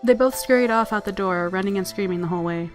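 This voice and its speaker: A woman's voice: medium pitch, fast, faint music behind. A woman narrating a visual novel aloud as a let's-player, mixing story reading with casual commentary.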